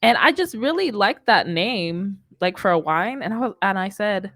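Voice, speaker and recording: A woman's voice: mid-range voice, lively intonation, normal speed, fairly bright tone, dry recording. A young American woman hosting a music show, chatting casually into a studio mic. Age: young adult. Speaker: radio show host. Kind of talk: casual chat on a music livestream. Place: indoor studio. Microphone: boom-arm studio mic.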